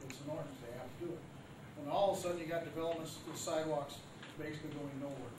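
A male council member talks plainly through a public meeting. His voice is slightly high and distant from the mic. Echo and room noise surround him. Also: fairly even intonation, racing. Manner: plainspoken, conversational. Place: council chamber. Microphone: far room camera. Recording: echoey room from far off with background noise.

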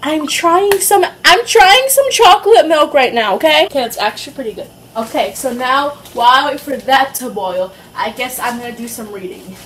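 High-pitched, excitable young woman. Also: normal speed, hardly any pauses, slight background noise. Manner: excitable, childlike, playful. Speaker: amateur cooking vlogger. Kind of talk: casual chatty cooking vlog. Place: home kitchen, indoors.

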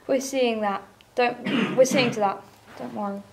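This is a woman playing a documentary interviewer in a drama. She speaks in a fairly high voice with a calm, reassuring manner. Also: measured pace, creaky.

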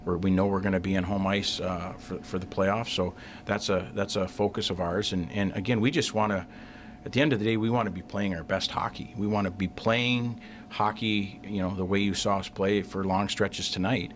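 A middle-aged man, a hockey coach, answering reporters at a postgame press conference, halting and repeating words as he restarts sentences. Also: deep voice, steady tone. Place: press room in front of a sponsor backdrop. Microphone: desk mic on a stand.

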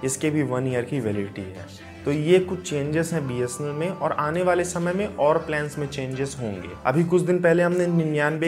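Upbeat young man presenting tech news. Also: on the high side. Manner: upbeat direct-to-camera presenting. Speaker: tech channel host. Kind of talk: scripted tech news explainer. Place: indoor home studio with lit gaming setup. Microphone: lapel mic.

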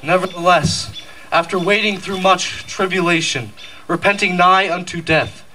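A man's voice: high-pitched, normal speed, bright, forceful tone, slight background noise. A young man playing an earnest scriptural rebel turned convert in an amateur outdoor pageant. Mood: earnest, repentant. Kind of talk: amateur stage pageant recitation. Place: outdoor stage at night. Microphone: handheld mic, filmed from audience. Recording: distant outdoor stage mic with music.

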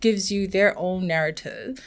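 A middle-aged woman from Samoa whose first language is Samoan, speaking reflectively with halting restarts as a podcast guest in a small studio. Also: on the low side. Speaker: podcast guest, humanitarian worker. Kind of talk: casual studio conversation. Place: small studio with plants and shelves. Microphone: podcast mic on a boom arm.

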